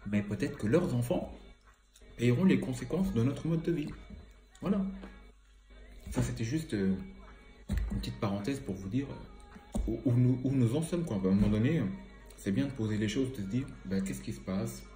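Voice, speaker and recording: A man's voice: medium pitch, expressive, moderate pace, pauses often, dark tone, echoey room, faint music behind. A man with a cold and a slightly stuffy voice, giving a casual, rambling commentary full of hesitations and fillers. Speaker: environmental vlogger.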